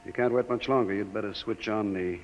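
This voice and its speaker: A man's voice: fairly low pitch, steady tone, a little fast, highs cut off, lightly acted. An actor playing a skeptical, commanding middle-aged male bureau chief who gives orders to his assistant, in 1950s radio drama style. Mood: grave. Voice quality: brusque, commanding. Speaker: boss. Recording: old radio broadcast with faint hiss.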